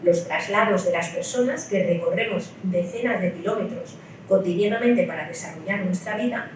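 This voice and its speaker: A woman's voice: medium pitch, moderate pace, a little hiss or hum. A woman from Spain giving a public lecture at a political meeting. She explains her points step by step and often checks in with the audience through short rhetorical tags. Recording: echoey hall, mic at a distance.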